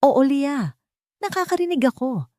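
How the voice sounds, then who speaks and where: A woman voicing an earnest, tender wealthy man in a romance audio story, speaking with slow, intimate emotion. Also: high-pitched, sing-song, warm tone, dry recording, studio quality, pitched above the performer's own voice. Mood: gentle, revealing.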